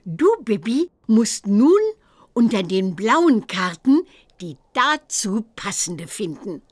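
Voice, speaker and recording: A woman voicing a stern old witch examiner who teaches herbalism in a children's computer game, theatrical and deliberate. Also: medium pitch, very animated, no room sound. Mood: instructive.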